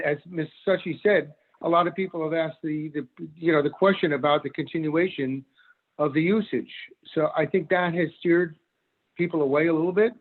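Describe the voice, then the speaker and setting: Man in his sixties on a tinny line. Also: fairly high pitch. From Norwalk, Connecticut. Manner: halting, many fillers and restarts. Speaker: commercial real estate broker. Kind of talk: testimony at a remote public hearing. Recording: tinny phone-line audio.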